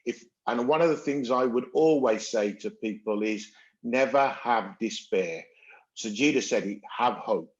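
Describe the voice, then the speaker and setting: An older man leads the panel in a brisk, encouraging way, hurrying the speakers along. His voice sits in a middle pitch, and the recording sounds a bit dull and muffled. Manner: brisk and encouraging, pressed for time. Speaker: moderator. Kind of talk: panel moderation.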